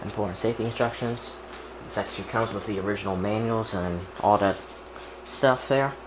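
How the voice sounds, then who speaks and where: A man with a fairly low voice narrates a hands-on unboxing in a casual, halting way, partly muttering to himself. He is recorded in an echoey room, with clear noise in the background. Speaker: YouTuber unboxing and setting up a radio. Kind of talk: casual unboxing narration while handling items.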